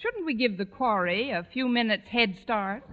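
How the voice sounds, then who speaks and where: An actress performs a wry woman schoolteacher in a 1940s radio comedy. Her voice is fairly high and crisp-edged, with lively swings into dry punchlines. The old recording is muffled. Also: moderate pace, close to an everyday voice. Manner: deadpan, sardonic delivery. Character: dry, wisecracking female high school teacher.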